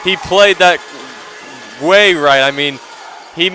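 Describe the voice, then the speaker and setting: A male colour commentator breaking down hockey plays in an arena booth, excited and restarting his sentences mid-thought. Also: on the high side, takes it slowly. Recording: arena crowd noise behind the booth mic.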